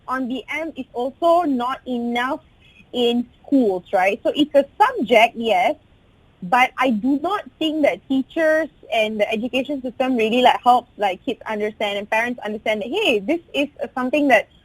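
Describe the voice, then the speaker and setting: A young Malaysian woman hosts a radio chat, talking in an animated, emphatic way and dropping "like" everywhere. Her voice sits high with a faint husky edge. The studio mic is close and dry. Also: moderate pace, no echo, rough recording. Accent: Malaysian English. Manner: animated, emphatic, peppers speech with "like". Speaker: radio host.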